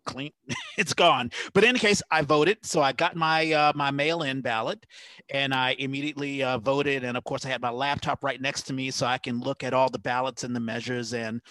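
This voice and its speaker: A man's voice: mid-range voice, fairly even intonation, fairly quick, sharp tone, no echo. Friendly male podcast host, dry sound. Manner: friendly, playful banter. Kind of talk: casual chat.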